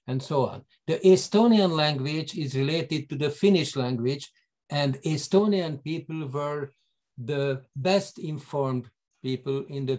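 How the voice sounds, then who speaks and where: Chatty older man, slightly high voice. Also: darkish tone. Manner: chatty, tells anecdotes with chuckles. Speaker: host. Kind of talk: video call conversation. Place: study lined with bookshelves. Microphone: video call webcam.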